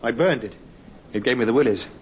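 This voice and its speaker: A man acting as a defensive murder suspect protests in a halting way, at a medium male pitch. The radio play sounds dull and old, with faint noise. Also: close to an everyday voice. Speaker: actor playing a murder suspect. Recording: muffled, noisy vintage radio recording.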